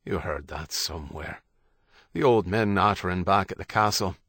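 Man voicing a wary, low-key young Irish husband. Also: normal speed, a bit bright, no echo, studio quality. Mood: skeptical.

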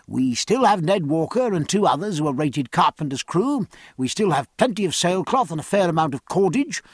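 A man's voice: on the high side, very animated, moderate pace, slightly rough, no echo, clean studio sound, voice pushed up. A man voicing a bold, commanding sea captain in an audiobook, speaking in hearty, emphatic speeches to his crew. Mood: confident, rousing. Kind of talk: audiobook character acting.

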